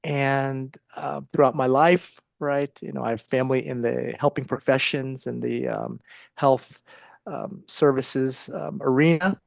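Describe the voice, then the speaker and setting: A middle-aged man with an American accent, speaking conversationally as an interview guest, with halting, um-filled phrasing. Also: mid-range voice, fairly even intonation, vocal fry, dry recording, clean studio sound. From Ohio, United States. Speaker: interview guest, psychologist and author.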